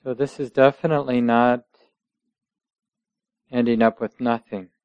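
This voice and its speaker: A man leads a guided meditation at a very slow pace, in a smooth, clear voice that stays very even in pitch. He speaks gently, in short measured phrases separated by long pauses. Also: no echo, highs cut off. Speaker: meditation teacher.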